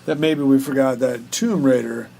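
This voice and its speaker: A man's voice: medium pitch, fairly even intonation, normal speed, gravelly, mellow, a little hiss or hum. A man co-hosting a casual chat, blunt and wisecracking in his banter. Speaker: podcast co-host.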